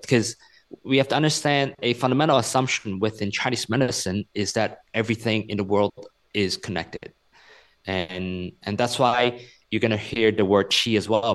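A man in his thirties from Hong Kong speaks as an author being interviewed. His voice sits on the low side, and he explains things earnestly and patiently, often doubling words as he works out a thought. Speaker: author and guest.

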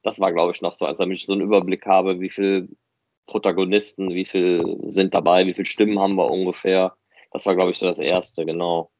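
A man with a deep voice talks casually as a podcast co-host. He explains things informally, often ending on a tag question. The sound is dry and a touch dull. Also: little pitch movement, fairly quick, a little mellow, dry recording. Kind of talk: casual podcast chat about a hobby project.

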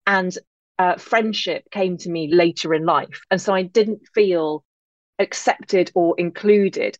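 An English woman speaking as an interview guest, reflective, articulate and measured in how she puts her thoughts together. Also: fairly low pitch, dry recording, dull audio. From England-born, grew up in Northern Ireland. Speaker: podcast guest, author.

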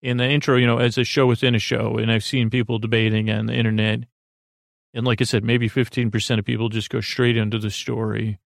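A man with a creaky voice hosts a sleep podcast, meandering through a low-key, self-deprecating bedtime monologue with hesitant, self-interrupted sentences. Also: flat delivery, a little fast, no echo, clean studio sound.

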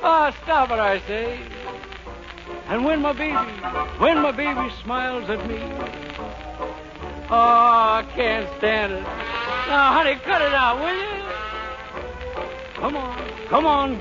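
A man's voice: very high-pitched, slow, long pauses. A man doing a theatrical 1940s radio comedy song act, crooning and breaking into spoken asides. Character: hammy jazz crooner pleading with his audience. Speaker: singer and comedian. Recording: muffled old radio recording, music behind.

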